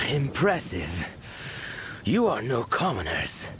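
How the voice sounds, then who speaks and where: Formidable male fighter for a game: composed, measured, very slow, with dramatic inflection. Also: mid-range voice, wildly swooping pitch, fairly bright tone, slight background noise, heavily acted. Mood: grave, restrained. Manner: measured, formal, grave. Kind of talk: video game acting.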